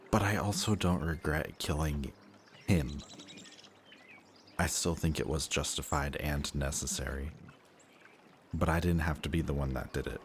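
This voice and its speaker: A man acts out a reformed antihero with an extremely low voice, talking closely to a best friend in an audio drama. He is remorseful, affectionate and emotionally open, with a hesitant conversational flow. Noticeable ambient noise sits behind him. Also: full character voice. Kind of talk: intimate audio roleplay acting addressed to the listener.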